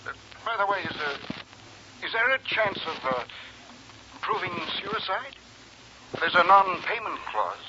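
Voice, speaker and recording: An actor playing a fussy, penny-pinching insurance executive, fretful and hesitant, in 1940s radio drama. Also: male voice, sits high, very animated, unhurried, pauses often, pushed and bright, heavily acted. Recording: muffled, noisy 1940s radio recording.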